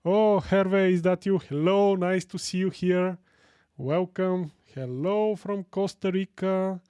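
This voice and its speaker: A man from Sofia with a Bulgarian accent hosting a casual live tech tutorial, chatting with viewers in a halting way and repeating phrases for emphasis. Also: high-pitched, fairly even intonation, mellow, clean tone, no echo. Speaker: live stream host.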